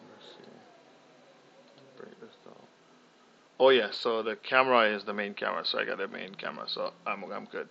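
A young man casually talking through a software tutorial, thinking aloud with fillers and restarts. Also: fairly low pitch, moderate pace, vocal fry, pauses often. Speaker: tutorial host. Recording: slightly dull lo-fi audio.

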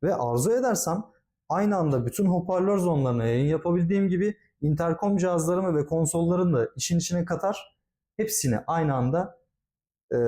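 A man with a slightly high, soft-edged voice presents a product demonstration. He is polite and formal, walking through each step in an orderly, explanatory way. The tone is mellow and relaxed.

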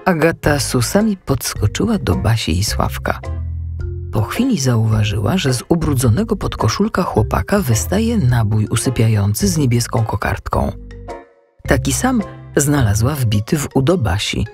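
A man narrating a children's audiobook in an expressive, scripted storytelling style. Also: mid-range voice, moderate pace, soft background music.

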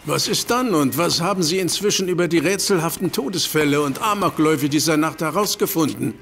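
A man's voice: fairly high pitch, fairly quick, vocal fry, a bit bright, slight background noise. An actor playing a stern older male professor in a radio play. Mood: businesslike.

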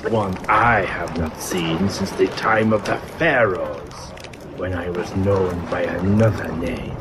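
A man's voice: low-pitched, slow. A man playing a cruel, grandiose ancient vampire villain in an audio drama, theatrical and pompous. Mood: gloating menace. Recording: loud background noise.

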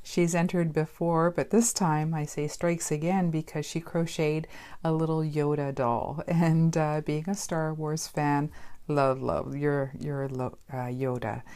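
A woman's voice: low voice, steady tone, measured pace, warm tone, clean tone. A middle-aged Canadian woman hosting a craft podcast from her home studio, chatting casually with many ums and uhs. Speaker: podcast host.

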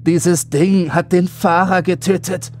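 Man acting a panicked passenger, raised pitch. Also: fairly even intonation, measured pace, a little mellow, faint music behind. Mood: snapping, desperate. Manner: pleading, agitated. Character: frightened, desperate adult bus passenger. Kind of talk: horror audiobook character dialogue.

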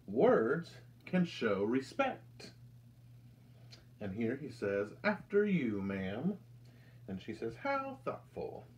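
Slow, lively American man reading to children. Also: mid-range voice. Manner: slow, deliberate, expressive storytelling delivery. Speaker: children's ministry storyteller. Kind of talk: reading a picture book aloud to children. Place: indoors, small room with curtain backdrop.

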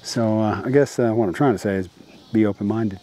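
A man's voice: lowish voice, fairly quick, warm tone. Casual middle-aged man talking outdoors. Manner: casual, rambling, many fillers and restarts. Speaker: landscape photographer. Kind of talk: outdoor vlog with photography tips. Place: outdoors by a lake at dusk.

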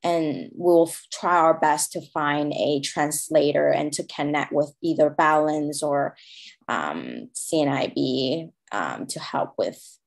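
A woman from Hong Kong with a Cantonese accent, speaking English as a second language as an interview guest, halting with many fillers and restarts. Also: sits low, expressive, vocal fry. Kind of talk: interview conversation.

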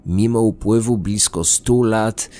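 Low-voiced male true-crime narrator. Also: takes it slowly, mellow. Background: background music.

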